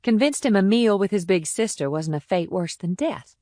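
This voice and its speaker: A woman's voice: medium pitch, animated, moderate pace, mellow, no room sound, studio quality, close to an everyday voice. A woman playing a glamorous, self-assured, teasing big sister in audiobook dialogue.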